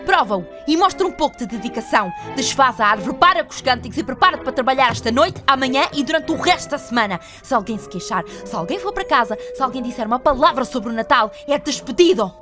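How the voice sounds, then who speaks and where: A woman with a European Portuguese accent voicing a selfish, haughty young theatre star in an animated film dub. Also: fairly high pitch, moderate pace, music in the background. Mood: angry, threatening. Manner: haughty, commanding. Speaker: fan dub voice actor.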